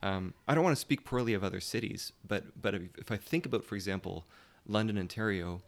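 A man with a fairly low voice talks in a casual panel discussion. He is careful and diplomatic, often hesitating and rewording as he searches for the right phrase. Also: fast talker, creaky. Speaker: theatre guest.

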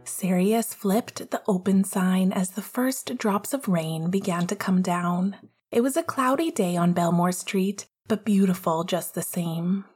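Woman narrating a story, slightly husky. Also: mid-range voice, a little mellow. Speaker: audiobook-style fan fiction reader.

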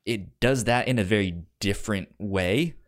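A man's voice: on the low side, normal speed. A man co-hosting a podcast in casual, unscripted chat, often repeating words as he thinks aloud. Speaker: podcast co-host.

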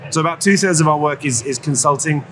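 A man's voice: fairly high pitch, quick pace, crowd noise. A man, an executive guest, explaining his field conversationally in an interview at a trade show. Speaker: guest, company chief executive. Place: live at an automotive trade show. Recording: noisy trade show floor. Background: clear ambient noise.

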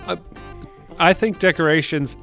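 A man's voice: fairly high pitch, steady tone, normal speed, creaky, fairly bright tone. Hesitant Canadian man over faint music. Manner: hesitant, repeats words. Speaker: sound man and co-host. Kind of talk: casual chat.

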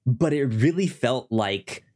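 A man co-hosting a casual review podcast, halting and stammering as he repeats words while he talks. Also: mid-range voice, expressive, darkish tone. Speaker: podcast co-host reviewing a TV show.

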